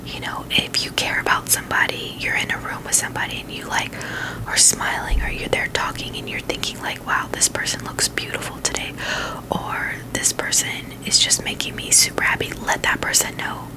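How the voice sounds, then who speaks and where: A woman whispering in a breathy, unvoiced voice, chatting casually and haltingly in a gentle ASMR style. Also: rough recording. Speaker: ASMR video host.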